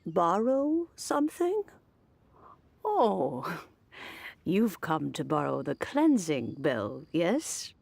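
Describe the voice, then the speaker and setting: An actress playing a kindly, nostalgic old woman in a video game, speaking slowly and deliberately with a creaky voice. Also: lowish voice, big pitch swings, heavily acted. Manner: slow, deliberate, sighing, gently teasing. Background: soft game music.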